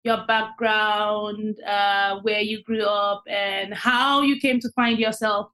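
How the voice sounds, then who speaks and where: A woman's voice: mid-range voice, fairly even intonation, slow, bright, forceful tone. A woman hosting an interview podcast, upbeat and enthusiastic as she asks questions. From immigrant to Canada. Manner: upbeat, enthusiastic, laughs easily. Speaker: podcast host.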